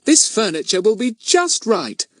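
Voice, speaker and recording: A man's voice: high voice, sing-song, a little slow, dry recording, studio quality, voice pushed up, heavily acted. A man voicing a kindly, genteel young mother in a storybook, gracious and chatty. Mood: pleased.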